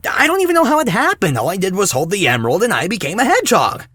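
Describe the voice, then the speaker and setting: A man's voice: high voice, huge pitch swings, fast, sharp tone, breathy edge, no room sound, full character voice. A man playing a sarcastic, casual teenage boy hero in a fan audio drama. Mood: defensive. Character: sarcastic teenage boy turned giant blue hedgehog hero.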